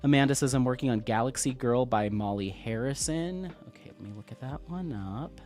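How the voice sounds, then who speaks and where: Laid-back sniffly guy chatting on a craft stream, mid pitch, quiet music under it. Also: brisk. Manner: relaxed, friendly, reads viewer comments aloud. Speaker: livestream host. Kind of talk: casual live-stream chat, reading comments. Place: home craft room, at a desk. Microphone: desk microphone.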